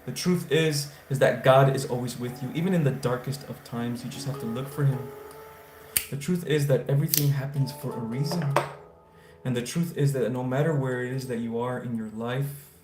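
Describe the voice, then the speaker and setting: A man from the United States hosting a casual spiritual livestream, answering viewers and giving enthusiastic, affirmation-style blessings. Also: mid-range voice. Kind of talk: casual livestream chat, reading viewer comments. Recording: roomy echo, faint guitar music. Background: faint guitar music.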